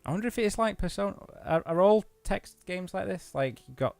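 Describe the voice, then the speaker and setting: A man's voice: high-pitched, expressive, brisk, gravelly, dry recording, soft background music. A British man co-hosting a let's play, reading game text aloud and chatting casually about it. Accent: British English.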